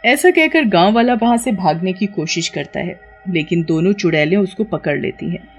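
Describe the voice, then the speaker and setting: A woman's voice: lowish voice, moderate pace, husky, faint music behind. A woman storyteller reading scripted narration for a tale.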